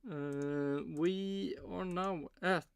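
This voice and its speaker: Slow, halting man reacting on stream. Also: high voice, creaky, clear, resonant tone, no room sound. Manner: slow, halting, counting aloud with many fillers. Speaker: reaction video host. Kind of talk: casual commentary while watching.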